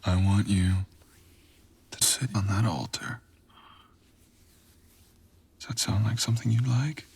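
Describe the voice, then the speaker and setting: A man playing a brooding, possessive, dangerous creature boyfriend in an intimate ASMR roleplay, husky and breathy, murmuring teasing commands. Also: on the low side, a little mellow, full character voice. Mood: playful, coaxing. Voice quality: husky, breathy, often dropping to a whisper. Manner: slow, teasing, murmured commands with deliberate pauses.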